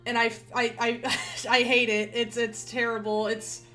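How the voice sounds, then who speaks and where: A woman streaming a game, chatting casually and rambling with many restarts, her voice a little nasal and rough. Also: a little high, flat delivery, normal speed, bright, forceful tone, soft background music. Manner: rambling, chatty, self-correcting with frequent repeats. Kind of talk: casual gaming stream chat.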